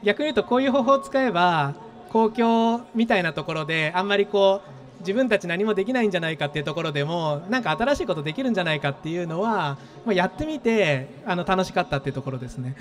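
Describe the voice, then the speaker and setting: A man in his thirties, a startup founder being interviewed on an expo stage, talking quickly and thoughtfully with many fillers into a handheld mic. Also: high voice, animated. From Japan, Gifu. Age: 30s.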